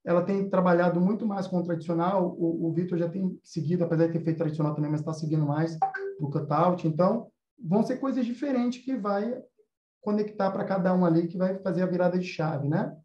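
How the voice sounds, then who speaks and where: A man's voice: high voice, brisk, dark tone. A Brazilian man teaching and hosting in a casual, explanatory way. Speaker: host, animation course teacher. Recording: roomy, slightly dull sound.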